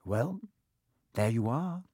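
A man reading the part of a brave, earnest young schoolboy in a storybook, quietly and seriously. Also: medium pitch, big pitch swings, moderate pace, creaky, slightly rough, no echo, clean studio sound, full character voice. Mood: quiet resolve.

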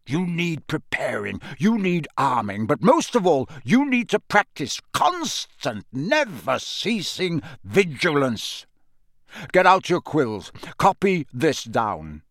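Man voicing a gruff old professor, growling, stern, lively swings, dry sound. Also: on the high side, no room sound, voice pushed up, heavily acted. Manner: growling, emphatic, urgent.

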